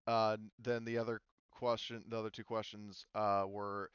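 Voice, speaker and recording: Male game master, low register, dry close sound, casual and hesitant explainer. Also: level tone, normal speed, a bit bright, clear, resonant tone, no echo, highs cut off. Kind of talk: casual explanatory group discussion before a tabletop game. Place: online voice call.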